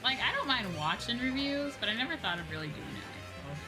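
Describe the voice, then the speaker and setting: A woman chatting casually as a livestream host while gaming, expressive and free with swearing. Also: on the high side, quick pace, low-quality recording. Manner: expressive, swears freely, mock-grumbling asides. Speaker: livestream host, virtual streamer. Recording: game music behind the voice. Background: video game music.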